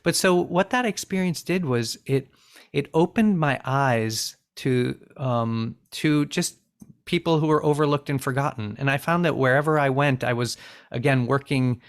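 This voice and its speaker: A man's voice: medium pitch, moderate pace. A man in his forties, raised bilingual in Finnish and English, telling an interviewer about his humanitarian work in an earnest, reflective way, with frequent restarts. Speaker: interview guest, refugee aid founder. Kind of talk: conversational interview storytelling.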